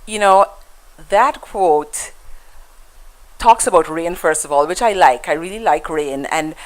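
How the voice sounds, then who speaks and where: A woman's voice: fairly low pitch, animated, measured pace, low-quality recording. A woman with a Guyanese accent, a guest in a conversational interview, telling stories in a reflective way with frequent fillers and restarts.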